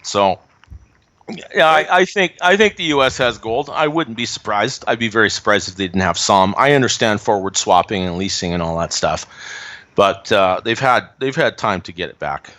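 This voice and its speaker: A man's voice: lowish voice, lively intonation, moderate pace, pushed and bright. A man giving opinionated, emphatic commentary on politics and economics in a casual chat. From Canada. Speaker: guest commentator.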